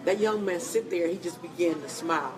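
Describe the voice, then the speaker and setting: A woman hosting an interview in a coffee shop, animated and preachy, encouraging her guest. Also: on the low side, fairly quick, background noise. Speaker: host. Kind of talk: interview chat. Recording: distant mic in busy cafe. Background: cafe crowd chatter.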